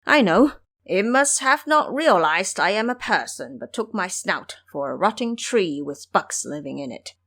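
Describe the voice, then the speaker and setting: A woman's voice: mid-range voice, big pitch swings, measured pace, fairly bright tone, heavily acted. Woman playing a whining, indignant pig-man fool. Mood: sulky, annoyed. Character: grumbling lazy pig-man fool, self-pitying and indignant. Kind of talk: audio drama character acting.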